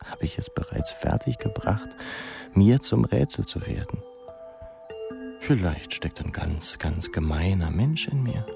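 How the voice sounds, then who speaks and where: An actor playing a musing, self-mocking young pupil in an intimate, ironic radio drama monologue. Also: male voice, sits low, gravelly, exaggerated voice.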